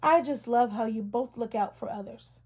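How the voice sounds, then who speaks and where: A woman's voice: a little high, moderate pace, a little mellow, slightly rough. A woman voicing a loving, approving mother duck in a children's storybook, affectionate and encouraging.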